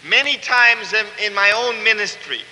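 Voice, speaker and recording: A man's voice: sits high, moderate pace, bright, forceful tone. A man from Cuba, preaching an earnest sermon as pastor that builds to fervent emphasis. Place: church sanctuary. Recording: long church reverb.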